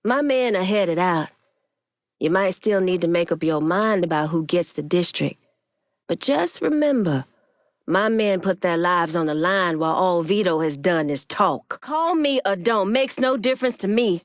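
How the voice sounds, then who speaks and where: An actress voicing a proud, commanding woman crime boss in a video game, with a firm, deliberate and authoritative delivery. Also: on the low side, lively intonation, no room sound, natural voice. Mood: angry, defiant.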